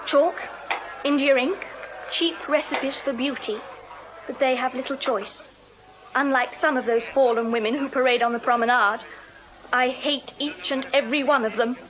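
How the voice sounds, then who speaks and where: A woman's voice: high voice, steady tone, normal speed, fairly bright tone, slight background noise. An actress playing a prim, protective Victorian music hall woman in a radio drama, speaking precisely and earnestly with an English accent. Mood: bitter, scornful. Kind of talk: full-cast period radio drama acting.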